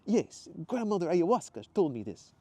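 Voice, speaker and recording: Man acting out an effusive Italian traveler, lilting high. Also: very animated, moderate pace, darkish tone. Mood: sincere, grateful. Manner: effusive, earnest.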